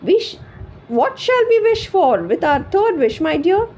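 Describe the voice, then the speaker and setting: A woman voicing a quick-tempered peasant wife who screeches and scolds. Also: very high-pitched, very animated, a little mellow, pitched above the performer's own voice, heavily acted. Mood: sobbing.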